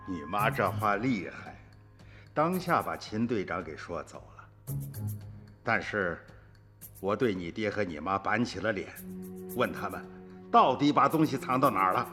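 An older man playing an old farmer grandfather in a radio drama, recounting his family's story in a folksy, unhurried way. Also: fairly low pitch, animated, bright, forceful tone, soft background music. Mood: reflective, troubled.

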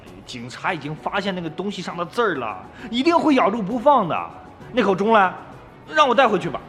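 A man's voice: high-pitched, sing-song, a little fast, fairly bright tone. A man acting a naive, desperate younger villager in a radio drama, pleading hesitantly and repeating himself. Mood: nervous, stubborn. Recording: long reverb, music underneath.

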